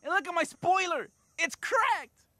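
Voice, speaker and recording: A man playing a whiny young punk hot-rod car in an animated video game. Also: extremely high pitch, measured pace, exaggerated voice. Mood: whiny, defensive. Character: whiny, punkish male street-racer car.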